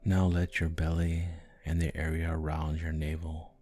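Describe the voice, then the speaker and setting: A man guiding a sleep hypnosis in a soft, calming, gentle voice with long pauses between short phrases. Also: rumbling low. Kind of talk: guided sleep hypnosis, soothing narration. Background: background music.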